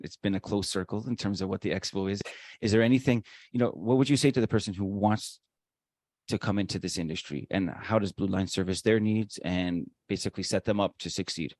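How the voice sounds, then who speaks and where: A man's voice: low-pitched, steady tone, a little fast, a little mellow, dry recording. A man co-hosting a conversational interview podcast, talkative and emphatic, with promotional asides. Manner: talkative, emphatic, self-described showman. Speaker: podcast co-host.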